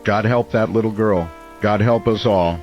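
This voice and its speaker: An actor as a doomed late-night radio host, a man with a low, somber voice. He is steady, caring and earnest in this horror radio drama. The sound is slightly dull, with faint music beneath. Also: normal speed, lightly acted.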